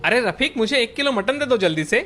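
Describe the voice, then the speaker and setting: A man's voice: extremely high pitch, animated, brisk, sharp tone, voice pushed up, exaggerated voice. A man voicing a friendly, informal pal in a story, chummy and in a hurry. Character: friendly, hurried male friend of the butcher.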